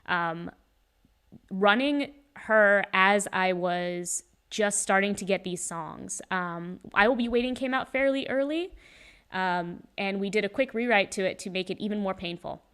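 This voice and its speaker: A woman's voice: medium pitch, unhurried, fairly bright tone, clear, resonant tone. A woman chatting casually on a livestream Q&A about singing a role, rambling a little with many ums. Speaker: singer and voice actor in a fan musical. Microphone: handheld microphone.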